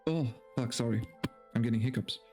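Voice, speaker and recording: A man's voice: mid-range voice, a little fast, a little mellow, faint music behind. A man streaming a dramatic story reading, with theatrical narration and casual, mock-exasperated asides.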